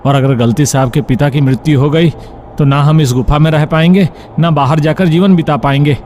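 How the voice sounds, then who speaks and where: A man's voice: fairly high pitch, normal speed, darkish tone, a little hiss or hum, voice pushed up, close to an everyday voice. A man voicing an earnest, cautious young groom-to-be in a cartoon folk tale. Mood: worried. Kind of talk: animated folk-tale dialogue.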